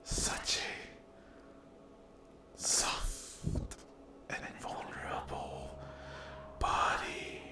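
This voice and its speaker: A man's voice: extremely slow, pauses often. A man voicing a cruel, possessive sleep paralysis demon in an ASMR roleplay, speaking in a menacing, condescending, whispery drawl. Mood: taunting, sinister.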